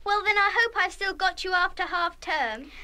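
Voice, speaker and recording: An actress playing a lively, excitable young schoolchild in a radio play, exclaiming expressively. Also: female voice, extremely high pitch, normal speed, exaggerated voice. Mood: friendly. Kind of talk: full-cast children's radio play acting.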